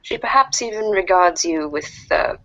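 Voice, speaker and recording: A woman's voice: on the low side, expressive, normal speed, a bit bright, highs cut off. A woman voicing a formal, curious alien troll girl with wordy, stilted phrasing, in an amateur reader's theater. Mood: inquisitive.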